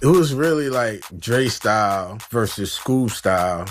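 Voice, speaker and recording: Low-voiced man in a casual interview. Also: animated, a little slow, music in the background. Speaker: interviewee recalling old friends.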